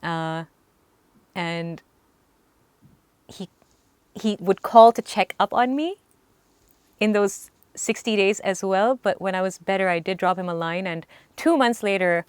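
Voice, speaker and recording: A young woman, a singer, telling lively stories in an interview, laughing and acting out what people said. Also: medium pitch, a little slow, long pauses, smooth, dry recording. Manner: animated, laughing, acts out quotes. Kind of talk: storytelling in an interview.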